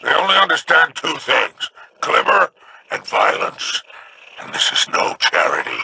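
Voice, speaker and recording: An actor voicing a scheming alien crime boss in a video game, with a gravelly, sneering voice. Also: male voice, fairly high pitch, wildly swooping pitch, measured pace, sharp tone, no echo, full character voice, poor audio. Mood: mocking.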